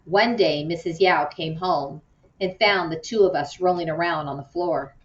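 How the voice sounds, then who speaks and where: A woman's voice: low voice, moderate pace, natural voice. A woman voicing a hurt, indignant young girl from a storybook, urgent and aggrieved. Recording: muffled, roomy, distant from mic.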